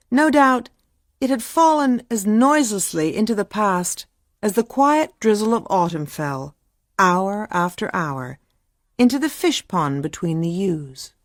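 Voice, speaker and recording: An actress playing a wry literary lady who narrates a radio drama in a measured, deliberate manner. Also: medium pitch, dry recording, studio quality.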